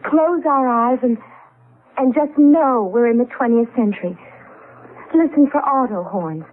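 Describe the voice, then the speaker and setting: An actress playing a frightened, pleading wife in 1970s radio drama style, on a thin, noisy old broadcast recording. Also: female voice, fairly high pitch, lively intonation, unhurried, narrow, tinny audio. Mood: hushed, hopeful. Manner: anxious, pleading.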